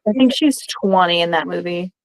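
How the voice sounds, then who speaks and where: A woman on a casual group stream, trading quick teasing quips. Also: on the low side, low-quality recording. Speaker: podcast guest. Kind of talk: casual chat.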